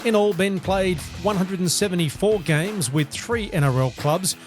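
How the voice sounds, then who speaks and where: A man's voice: high-pitched, normal speed. A man reading a scripted introduction as a podcast host. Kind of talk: scripted narration. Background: music under the voice.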